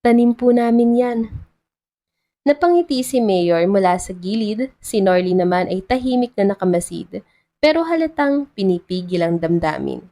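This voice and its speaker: A woman's voice: medium pitch, expressive, normal speed, warm tone. Woman narrating a scripted love story.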